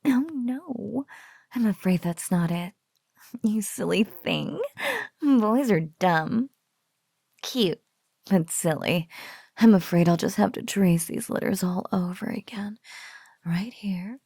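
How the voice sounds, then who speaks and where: A woman playing a teasing, doting spider-woman captor in an ASMR roleplay, speaking softly in breathy whispers and lingering playfully over the words. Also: mid-range voice, no room sound, studio quality, exaggerated voice. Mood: playful, gloating. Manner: slow, soft, breathy, lingering teasing delivery.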